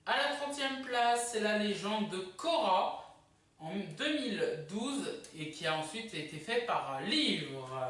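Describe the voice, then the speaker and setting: A YouTube host casually presenting a countdown video in a chatty, halting way. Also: mid-range voice, fairly bright tone. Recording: echoey room, distant microphone.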